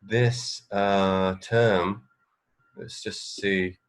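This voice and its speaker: A man with a lowish voice co-hosts an informal radio show. He speaks conversationally and a bit haltingly, working out his thoughts aloud. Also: steady tone. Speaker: radio co-host. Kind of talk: casual radio chat.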